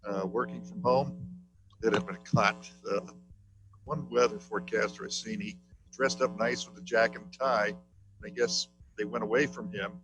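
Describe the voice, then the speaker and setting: A man's voice: lowish voice, steady tone, normal speed, over music, poor audio. A man chatting casually on a video call, sharing a light anecdote. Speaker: club member on a video call. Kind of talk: casual chat, telling an anecdote.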